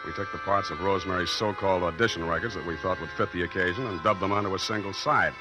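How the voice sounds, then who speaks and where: A man's voice: deep voice, fairly even intonation, fast talker, over loud music, lightly acted. An American actor playing a wisecracking, cocky male private detective in a wry, mock-pompous 1940s radio style. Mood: brisk, confiding.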